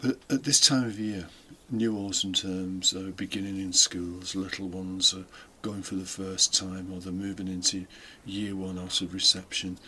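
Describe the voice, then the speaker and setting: A man's voice: deep voice, flat delivery, normal speed, hardly any pauses, sharp tone. A British man giving an informal, reflective homily, explaining things with conversational asides. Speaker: homily podcast host, deacon. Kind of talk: informal homily, partly scripted. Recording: faint background noise.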